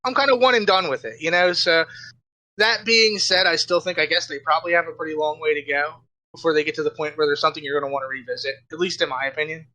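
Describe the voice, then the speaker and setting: A man with a notably high-pitched voice speaks in a very bright, sharp tone. He talks casually as a podcast panelist, putting his opinions in colloquial terms. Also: steady tone, fast talker, low-quality recording.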